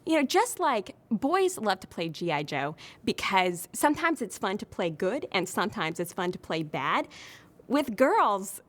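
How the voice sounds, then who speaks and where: A woman's voice: mid-range voice, very animated, unhurried, fairly bright tone. A woman talking emphatically and enthusiastically in a casual documentary interview.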